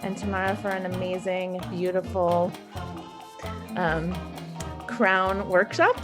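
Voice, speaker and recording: A woman hosting a children's online event from a bedroom, giving informal thanks and announcements in a halting way. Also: on the low side, fairly even intonation, over music. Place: bedroom, live stream.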